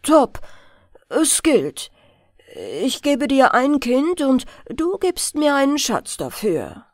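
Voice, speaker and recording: A poor woodcutter from a fairy tale, played by a woman reader, humble and careworn. He speaks earnestly, with lively rises and falls, in a dry, close recording. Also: high-pitched, takes it slowly, no echo, voice pushed up, heavily acted. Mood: resolute. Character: poor, worried, good-hearted male woodcutter and father.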